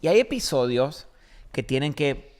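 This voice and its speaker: A man's voice: mid-range voice, big pitch swings, unhurried, a little mellow. A man with a Paraguayan Spanish accent, a podcast host and pastor from Paraguay, talking casually and telling stories with emphatic repetition. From Paraguay, born in Argentina. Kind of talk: casual conversational religious podcast talk.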